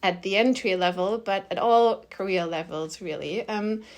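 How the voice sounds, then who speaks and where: A woman's voice: medium pitch, moderate pace. Nasal woman with a cold. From Germany. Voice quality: slightly nasal. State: has a cold. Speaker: interview guest, agency founder. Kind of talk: conversational interview answers.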